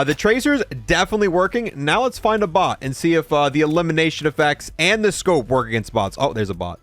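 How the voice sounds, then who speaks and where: Animated man commentating on games. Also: a little high, slight background noise. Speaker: gaming video creator. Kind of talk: casual game showcase commentary.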